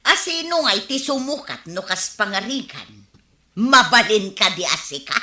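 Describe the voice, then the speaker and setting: Lively, disapproving villager. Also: female voice, mid-range voice, moderate pace. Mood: curious. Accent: Ilocano. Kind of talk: Ilocano radio drama acting. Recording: roomy echo.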